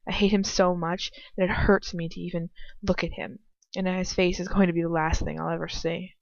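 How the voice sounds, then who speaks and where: A woman's voice: lowish voice, little pitch movement, a little fast, creaky. A woman doing an amateur read-aloud of fan fiction in a casual, irreverent way, stumbling and breaking into chatty asides. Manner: irreverent, giggly, swearing asides, stumbles while reading. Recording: muffled lo-fi home recording, roomy echo.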